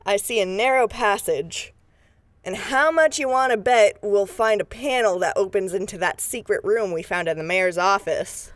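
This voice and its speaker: A woman's voice: mid-range voice, normal speed, no echo, close to an everyday voice. A woman playing a businesslike, take-charge deputy investigator in a radio drama, plain-spoken and matter-of-fact. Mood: focused, deducing. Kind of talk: scripted radio drama acting.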